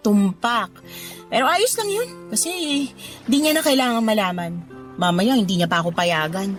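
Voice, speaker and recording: A woman's voice: medium pitch, expressive, normal speed, natural voice. An actress playing a discontented, flirty mother of thirty who confides in a Filipino accent, in a conversational radio drama style. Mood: confiding, resentful. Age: 30s. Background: background music.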